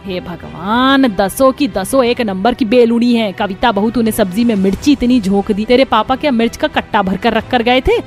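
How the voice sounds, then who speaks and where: Woman playing a high-pitched, nagging older mother-in-law. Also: moderate pace, few pauses, soft background music, close to an everyday voice. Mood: scolding. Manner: nagging, sarcastic. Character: scolding, sharp-tongued older mother-in-law, bossy and exasperated. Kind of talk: Hindi cartoon family drama.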